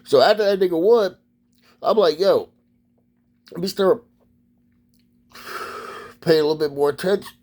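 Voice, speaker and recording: A man's voice: high-pitched, unhurried, long pauses, darkish tone. A man from Maryland, USA, delivering a profane, rambling casual monologue as a vlogger.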